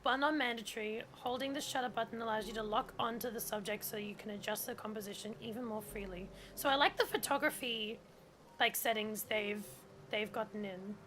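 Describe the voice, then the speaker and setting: A woman's voice: a little high, steady tone, a little fast, fairly bright tone, a little hiss or hum. A woman whose first language is German, chatting casually in a gameplay stream and reading on-screen text aloud, with lots of "like". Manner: chatty, heavy use of "like", reads on-screen tutorial text aloud.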